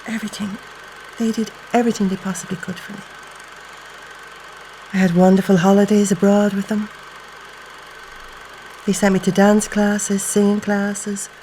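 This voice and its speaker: Casting: woman playing middle-aged adoptee, medium pitch, fond emphatic conversational testimony, faint music under. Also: warm tone, lightly acted, rough recording. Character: grateful adopted middle-aged woman fondly recalling happy childhood. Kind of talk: dramatised first-person testimony.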